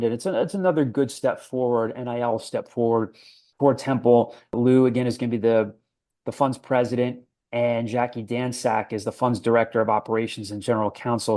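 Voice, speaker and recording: A man's voice: mid-range voice, a little mellow. A young man hosting a casual sports podcast, chatty and self-deprecating, with lots of fillers. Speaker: podcast host.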